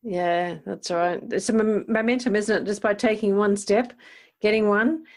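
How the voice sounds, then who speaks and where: A woman's voice: mid-range voice, fairly even intonation, normal speed. A woman interviewer chatting casually and encouragingly, agreeing with her guest and building on the points made.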